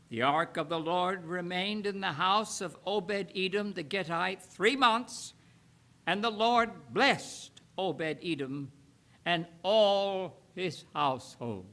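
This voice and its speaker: A man with a high, bright and forceful voice reads from the Bible aloud. His delivery is slow and solemn, with emphatic phrasing broken by frequent pauses. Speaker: Bible reader. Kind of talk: solemn scripture reading aloud.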